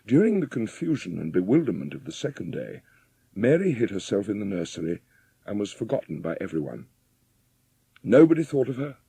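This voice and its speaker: A man's voice: lowish voice, gravelly, warm tone, breathy edge, no room sound. A man narrating a dramatised audiobook story in a scripted storytelling style. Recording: music behind the narration.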